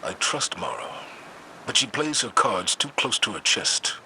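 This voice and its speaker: A man playing a stern, wary veteran commander in a game, measured and authoritative. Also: fairly low pitch, huge pitch swings, gravelly, pushed and bright, heavily acted. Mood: concerned, firm. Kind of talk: dramatic game dialogue. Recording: noisy game sound effects behind.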